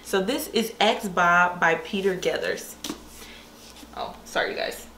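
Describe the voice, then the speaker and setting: A woman's voice: fairly low pitch, a little slow, pushed and bright. A young woman chatting casually and enthusiastically to camera about things she likes, in an informal book vlogger's style. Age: young adult. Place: small bare-walled room indoors. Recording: echoey room with faint background hiss.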